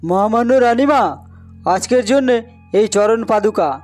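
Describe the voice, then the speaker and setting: Guy doing a cartoon palace maid, high voice, super polite and servile. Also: lively intonation, measured pace, a little mellow, faint music behind. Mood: respectful. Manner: formal, servile. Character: deferential palace maidservant, formal and obedient. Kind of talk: fairy-tale cartoon dub.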